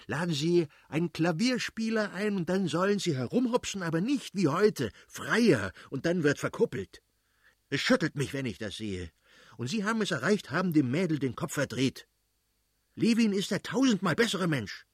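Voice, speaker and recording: A man's voice: a little high, animated, moderate pace, a bit bright, husky, no echo, studio quality, pitched above the performer's own voice. A man voicing an irascible aristocratic father in an audiobook, blustering and mocking as he scolds his wife. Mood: furious, scornful. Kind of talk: dramatised audiobook reading.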